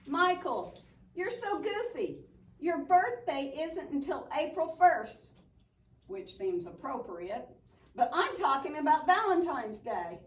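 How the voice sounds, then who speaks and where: Woman playing a high, lively, earnest puppet friend. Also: slow pace, heavily acted. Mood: cheerful, explaining. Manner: earnest, explanatory, chiding. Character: earnest, patient, bossy young best-friend puppet. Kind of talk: church puppet show acting. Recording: echoey room, distant mic.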